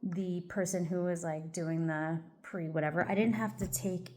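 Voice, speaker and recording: A woman with a slightly low voice chats casually while getting ready, her talk loose and rambling and packed with "like" and "um". She sounds a touch stuffy, as if coming down with something. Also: fairly even intonation, normal speed, darkish tone. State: a little congested, stuffy. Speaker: vlogger. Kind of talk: casual get-ready-with-me chat.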